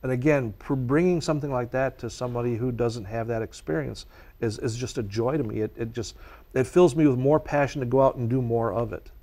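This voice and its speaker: A man's voice: mid-range voice, a little fast, mellow. Earnest, passionate man in interview. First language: Czech. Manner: earnest and passionate, speaks at length. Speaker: guest, nature educator. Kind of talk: conversational interview answers.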